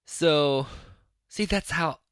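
A man's voice: on the high side, extremely slow, a bit bright, clear, resonant tone, dry recording, clean studio sound. A man in his late thirties hosting a casual solo podcast, rambling and chatty and laughing often as he talks. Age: 30s. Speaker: podcast host.

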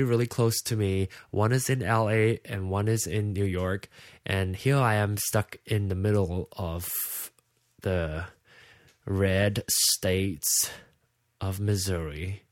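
A man's voice: deep voice, dry recording, studio quality. A sleepy man with a Malaysian accent, a native Hokkien speaker, hosting a casual unscripted podcast in a hesitant, rambling way. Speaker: podcast host.

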